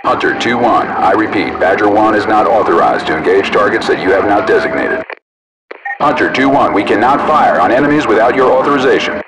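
Low-voiced soldier on a noisy military radio. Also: male voice, expressive, fairly quick. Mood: firm, alert. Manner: clipped, procedural, authoritative. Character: male soldier crewing an armored vehicle, brisk and businesslike. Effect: military radio filter. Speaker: military armored vehicle radio operator. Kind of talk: military radio chatter, video game acting. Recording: distant radio sound under loud vehicle noise.

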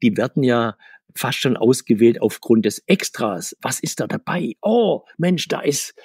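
A middle-aged man answering interview questions, rambling and restarting his sentences, with sudden lifts in pitch. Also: lively intonation, a little slow, darkish tone, slightly rough, no echo, studio quality. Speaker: comics author and guest.

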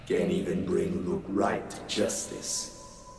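A lowish, slow male monster jeers with scorn from mirror shards in a horror game. His taunts echo from a distance over music. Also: monotone, creaky, heavily acted. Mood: scornful taunting. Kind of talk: visual novel horror voice acting. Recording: echoing, distant, music behind.

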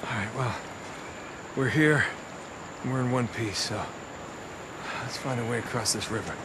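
A man's voice: mid-range voice, a little slow, background noise, close to an everyday voice. An actor playing a cocky, flippant adventurer in his thirties in a video game cutscene, quippy and sarcastic. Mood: determined.

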